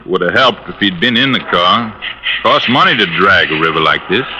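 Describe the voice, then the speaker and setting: An actor playing a gruff, terse police lieutenant in a 1950s radio drama, with a deadpan delivery. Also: male voice, low-pitched, moderate pace, very noisy. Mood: curt, sour.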